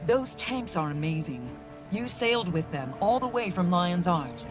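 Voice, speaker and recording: A woman playing a confident, level-headed heroic commander in a video game, assured and measured. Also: lowish voice, lively intonation, natural voice. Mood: interested. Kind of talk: video game cutscene acting. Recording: loud game music behind.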